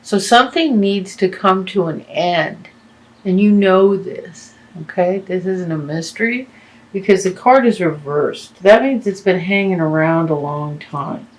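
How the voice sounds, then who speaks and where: Slow, halting woman reading tarot. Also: lowish voice, poor audio. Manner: halting, many fillers and restarts. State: losing her voice. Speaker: tarot reader.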